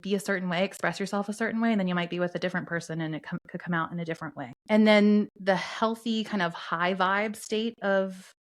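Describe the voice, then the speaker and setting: A woman co-hosting a podcast, calmly explaining astrology and human design concepts in a steady teaching tone. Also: medium pitch, fast, hardly any pauses. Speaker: podcast co-host.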